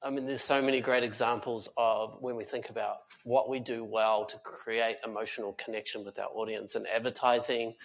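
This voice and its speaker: A man's voice: mid-range voice, level tone, fairly quick, creaky, hardly any pauses, rough recording. A man speaking as a guest on a conference panel, earnest and full of fillers and restarts. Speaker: panel guest, tech company founder. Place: conference stage before an audience.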